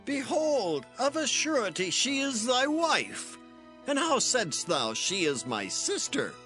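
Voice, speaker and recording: A man playing an imperious Philistine king in a dramatized scripture reading, declaiming in drawn-out phrases. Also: extremely high pitch, big pitch swings, pushed and bright, soft background music, heavily acted. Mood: indignant, commanding.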